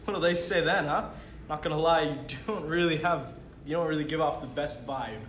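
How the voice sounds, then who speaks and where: A man's voice: fairly high pitch, normal speed, a bit bright, natural voice. Casting: belittling, foul-mouthed imaginary young tormentor played by a man, brash, roomy read-through with faint noise. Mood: taunting, scornful. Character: taunting, foul-mouthed male figment, imaginary teenage tormentor. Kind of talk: script table read. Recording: roomy recording with background noise.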